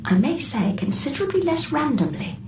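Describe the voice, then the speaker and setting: Echoing from a distance over noticeable noise, an enigmatic artificial intelligence in a radio play. The phrasing is formal and exact, yet the mid-pitched voice inflects with lively variation. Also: female voice. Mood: measured, precise. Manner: formal, measured, precise phrasing. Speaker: actor in a radio drama. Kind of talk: radio drama acting. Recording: echoey, distant voice with background noise.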